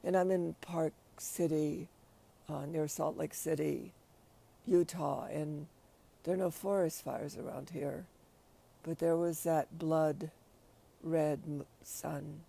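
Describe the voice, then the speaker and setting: Middle-aged woman host, raspy and mellow-toned, slow, unhurried and hesitant delivery. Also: low-pitched, steady tone. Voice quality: raspy after covid. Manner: hesitant, halting, many restarts. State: short of breath at times. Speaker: livestream host. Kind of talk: casual spoken reflection.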